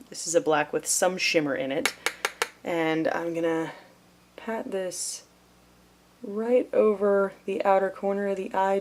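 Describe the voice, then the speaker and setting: A young woman talking through a makeup tutorial in a chatty, playful way, sometimes dropping to a near whisper as she works. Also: fairly low pitch. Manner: chatty, playful, self-deprecating. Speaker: beauty vlogger.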